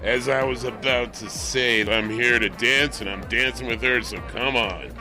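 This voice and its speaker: A man's voice: medium pitch, steady tone, moderate pace, music in the background, lightly acted. An actor playing a swaggering, taunting, slangy 1920s tough guy in a radio drama. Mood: bullying. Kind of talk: old-time radio drama acting.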